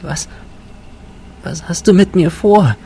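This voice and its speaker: A man's voice: sits high, slow, warm tone, a little hiss or hum, pitched above the performer's own voice. A man voicing a frightened young girl in a story, pleading and timid yet defiant, with a thin, fragile voice. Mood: fearful.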